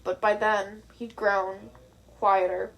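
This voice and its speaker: A woman reading the lines of a tired, earnest young woman in a story, thoughtful and gently persuading a friend. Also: mid-range voice, level tone, slow pace, vocal fry, pitched below the performer's own voice, full character voice, rough recording.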